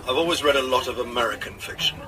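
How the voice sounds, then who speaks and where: A man playing a guarded, reflective novelist's stand-in, reading interview answers in a measured, thoughtful way on a radio programme. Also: mid-range voice, natural voice. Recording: distant, noisy radio playback.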